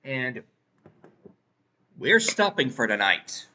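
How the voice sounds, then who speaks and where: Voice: mid-range voice, sing-song, slow. A streamer talking casually during a live read-through of a visual novel game. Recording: loud game music behind the voice. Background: video game music.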